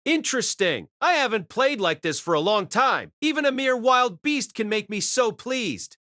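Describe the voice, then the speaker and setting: Haughty amused king guy man doing it, swoopy sharp voice, anime radio play villainy. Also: extremely high pitch, sing-song, moderate pace, dry recording, studio quality, heavily acted. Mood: gloating amusement. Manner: arrogant, theatrical. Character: haughty, amused heroic king relishing battle. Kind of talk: anime-style audio drama acting.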